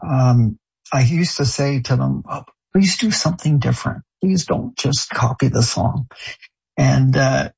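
A man born in Canada and raised in France, speaking in an interview with a gravelly, husky voice. He talks haltingly, full of fillers and restarts. The audio is dull and lo-fi. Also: mid-range voice, a little mellow. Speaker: show creator and songwriter. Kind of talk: interview answers.

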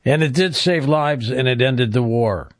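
A man's voice: mid-range voice, unhurried, no echo, clean studio sound. A man hosting a radio call-in show, putting questions to a guest and bringing in callers. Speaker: radio talk show host.

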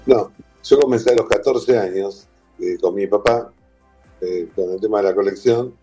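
Interview guest: Argentine man, low mellow voice, chatty and relaxed. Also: normal speed, husky, faint music behind. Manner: warm, chatty, explains with lists and repetition. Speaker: guest, whisky museum owner. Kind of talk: casual interview talk.

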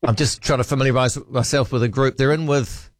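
A man's voice: mid-range voice, steady tone, brisk, dry recording, studio quality. A male New radio host chatting jovially with a caller and thinking aloud as he talks. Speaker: radio talk show host. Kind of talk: casual phone-in chat.

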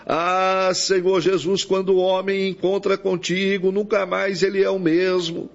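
Man, Brazilian accent, preacher at prayer, flat intonation, gravelly, pleading, invoking often, lo-fi sound. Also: high-pitched, measured pace. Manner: pleading, with frequent invocations. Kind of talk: extemporaneous evangelical prayer. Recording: dull, lo-fi audio.

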